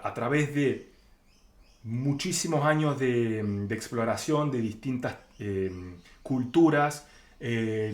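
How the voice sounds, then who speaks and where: Argentine man teaching in an echoey room. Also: on the low side. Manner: conversational, illustrates with acted-out first-person examples. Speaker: spiritual teacher. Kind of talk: informal explanatory lecture on spiritual healing. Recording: roomy, echoing sound.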